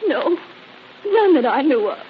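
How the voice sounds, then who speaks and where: An actress playing an excited, frightened young wife in an old radio drama, stammering and breathless. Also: female voice, high voice, measured pace. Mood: anxious, insistent. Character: excited, frightened young wife fearing her husband was murdered. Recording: dull, hissy old radio recording.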